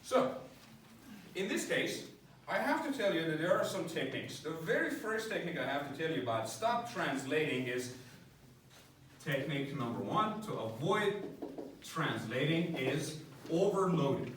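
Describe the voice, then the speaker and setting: A man teaches an English class in a bright, animated voice, walking his students through each idea and often checking in with "right?". He sounds a little distant from the microphone, and the room gives back a strong echo with a faint hiss underneath. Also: on the high side, normal speed. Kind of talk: classroom lecture.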